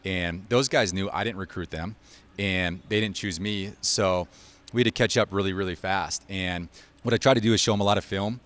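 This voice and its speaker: Deep-voiced man, informal coach in interview. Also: moderate pace, a bit bright. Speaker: college basketball head coach. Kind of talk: sit-down sports interview.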